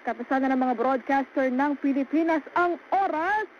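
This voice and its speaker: A woman's voice: high-pitched, moderate pace. A woman with a Filipino accent anchoring live radio news coverage. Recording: muffled, noisy radio broadcast.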